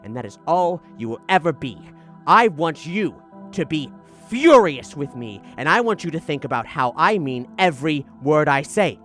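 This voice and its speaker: Man playing a forceful scornful acting teacher. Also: on the high side, sing-song, moderate pace, soft background music. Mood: scornful, commanding.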